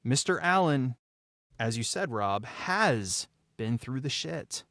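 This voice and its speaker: A man with a mid-pitched voice talks reflectively about creative work as a podcast guest. His delivery is emphatic and dramatic, with deliberate pauses for weight. The sound is dry and close. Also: animated, fairly bright tone, no echo. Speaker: podcast guest, audio drama writer, producer and actor. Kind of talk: reflective behind-the-scenes discussion.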